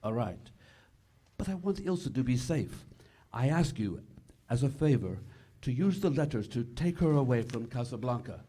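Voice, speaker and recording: An actor playing a noble, earnest resistance leader, formal and measured, in 1940s radio theater style. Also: male voice, medium pitch, lively intonation, mellow, husky. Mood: earnest pleading.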